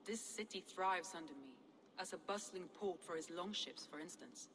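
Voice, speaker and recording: An actress playing a proud, composed Viking clan leader in a video game, speaking in an assured, formal way. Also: female voice, on the low side, moderate pace, a bit bright, slight background noise, close to an everyday voice. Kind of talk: video game cutscene dialogue.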